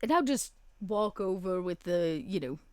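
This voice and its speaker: A woman's voice: lowish voice, animated, normal speed, smooth, dry recording. A woman chatting casually as a player in a tabletop roleplaying game, saying what her character does.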